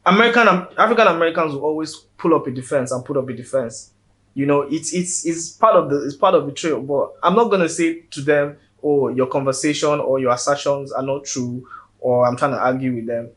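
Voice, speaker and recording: A man's voice: a little high, fairly quick, fairly bright tone. A man with a Nigerian accent, a guest in a casual interview, speaking earnestly and often repeating words as he builds his point.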